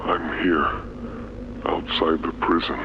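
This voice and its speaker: An actor playing a weary, scared but gentle monster leader in a video game, confiding haltingly into a recorder. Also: male voice, a bass voice, flat delivery, heavily acted. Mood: sad, uneasy. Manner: halting, hesitant, simple-worded confiding. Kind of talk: video game audio log monologue. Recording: dull, noisy lo-fi tape recording.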